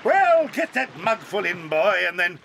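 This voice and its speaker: An actor playing an eccentric, excitable male chocolate factory owner, playful and theatrical, in a studio audio drama. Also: high-pitched, unhurried, gravelly, a little hiss or hum, exaggerated voice. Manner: playful, theatrical, bursts into rhyming chants.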